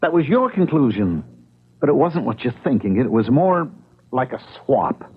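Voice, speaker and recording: A man's voice: medium pitch, very animated, moderate pace, slightly rough. An actor playing an insistent, brusque, vengeful man in an old-time radio drama. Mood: agitated. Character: obsessed, vengeful man defying his pleading fiancée. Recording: dull vintage radio recording.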